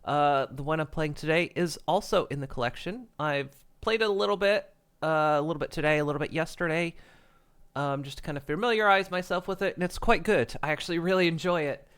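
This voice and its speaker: A man's voice: fairly high pitch, brisk, a bit bright, smooth. Congested man chatting on stream. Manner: rambling, frequent chuckles and restarts. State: congested, stuffy nose. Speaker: livestreamer. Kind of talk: casual stream chat. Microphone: desk microphone.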